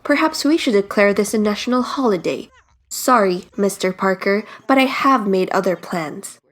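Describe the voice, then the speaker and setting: A woman's voice: medium pitch, lively intonation, moderate pace, lightly acted. A woman playing a cool, sarcastic teenage girl in a comic book dub, haughty in manner.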